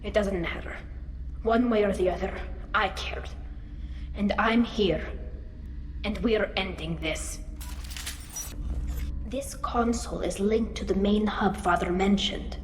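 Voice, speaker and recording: A woman's voice: mid-range voice, a little hiss or hum, close to an everyday voice. An actress playing a young alien woman engineer in a video game, an earnest, defiant daughter whose speech halts with emotion. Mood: somber resolve. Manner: earnest, halting under emotion. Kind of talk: cinematic video game voice acting. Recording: roomy echo, long reverb.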